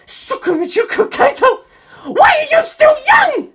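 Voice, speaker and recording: A woman voicing a crude, violent male bully in a storybook reading, with shrill, strained yelling. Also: very animated, a little slow, full character voice. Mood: shocked, angry. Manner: yelling, foul-mouthed outbursts.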